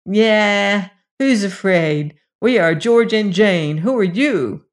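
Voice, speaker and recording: Woman doing a bold shouting young boy. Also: lowish voice, unhurried, natural voice. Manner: speaking loudly, bellowing. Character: young boy George, bold, loud, bluffing.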